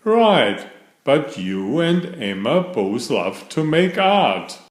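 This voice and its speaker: A man's voice: on the high side, sing-song, takes it slowly. A man voicing an eager, helpful male cat detective from a children's storybook, upbeat, emphatic and reassuring. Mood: excited, confident. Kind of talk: children's storybook character reading. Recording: echoey room.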